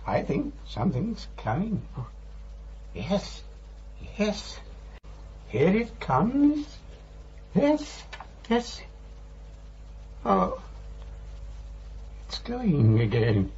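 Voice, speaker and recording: An actor playing a vague, forgetful old man in a radio drama, creaky and halting, bewildered and repeating himself. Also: high-pitched, huge pitch swings, darkish tone, full character voice. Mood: groping for memory. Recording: dull radio recording, faint noise and hum.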